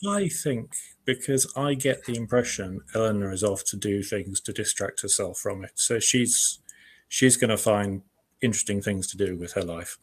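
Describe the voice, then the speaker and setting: A man's voice: on the low side, moderate pace, breathy edge. A man speaking as an interviewed writer, chatting casually and thoughtfully, a little hesitant, with self-deprecating humour.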